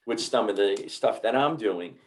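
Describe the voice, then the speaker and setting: A man who is a doctor hosts a health podcast in a fairly low voice. He talks with warm enthusiasm, stumbling over repeated words as he wraps up the conversation. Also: animated, moderate pace. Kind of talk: casual interview talk.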